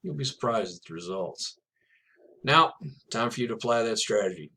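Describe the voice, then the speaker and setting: A man's voice: fairly low pitch, lively intonation, moderate pace, pushed and bright. A man teaching writing in a video lesson. He talks casually and encouragingly, with small laughs now and then. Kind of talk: instructional video talk.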